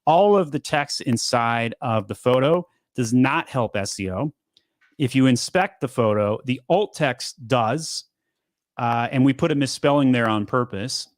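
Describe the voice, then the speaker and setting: A man in his thirties hosting a casual live Q&A from a home studio, upbeat and quick, often repeating words as he talks. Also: medium pitch, animated, no echo. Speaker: host. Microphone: studio mic on boom arm.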